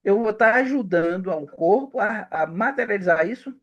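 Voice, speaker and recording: A man leads a relaxed conversation, setting up the topic and turning questions over to his guest. His voice sits high for a man, and he pokes fun at himself. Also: normal speed, lo-fi sound. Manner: asks questions, self-mocking. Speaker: host. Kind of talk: casual chat.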